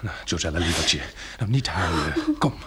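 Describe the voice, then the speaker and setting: An actor playing a calm, practical survivor in a radio drama, reasoning in a measured way and soothing like a tender protector. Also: male voice, low voice, slight background noise.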